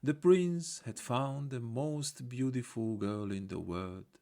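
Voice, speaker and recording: A man reading a scripted folktale as an audiobook storyteller, in a measured, simple storybook delivery. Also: medium pitch, warm tone.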